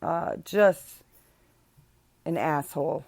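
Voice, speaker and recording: A woman's voice: sits low, very slow, vocal fry, a little mellow, dry recording. A young adult woman, hosting a casual solo podcast, blunt and chatty with many ums and sarcastic asides. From Illinois. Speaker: podcast host.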